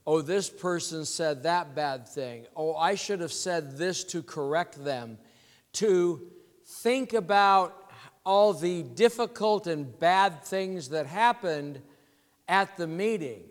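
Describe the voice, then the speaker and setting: A congested man preaches a sermon, his voice slightly high for a man and unhurried. He explains scripture patiently, word by word, and the church hall around him rings with a long reverb. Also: animated. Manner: measured teaching, explains words slowly. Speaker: pastor. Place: church sanctuary.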